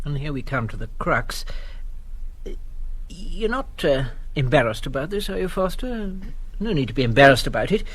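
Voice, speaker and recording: A man's voice: fairly high pitch, very animated, moderate pace, a bit bright, husky, heavily acted. A man with an English accent playing an awkward, chummy, hesitant schoolmaster in a comic radio drama. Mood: hearty, awkward. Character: hearty, awkward English schoolmaster giving confirmation class.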